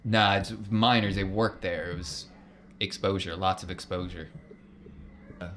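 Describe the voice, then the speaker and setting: A man's voice: sits low, steady tone, a little slow, a bit bright. A man playing a weary, bitter crime boss who talks in a blunt, folksy, dismissive way in a tabletop game.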